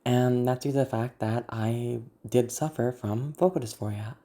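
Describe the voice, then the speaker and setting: An ASMR content creator with a naturally gravelly, growly voice, talking to viewers in a candid, self-deprecating, rambling way. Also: medium pitch, moderate pace, mellow. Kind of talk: casual personal talk to viewers.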